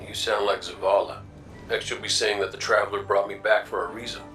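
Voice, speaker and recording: An actor playing a wry, roguish robot gunslinger in a video game, with a folksy drawl. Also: male voice, on the low side, level tone, brisk, pushed and bright, distant mic, faint music behind. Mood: wry, teasing. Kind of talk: video game cutscene acting.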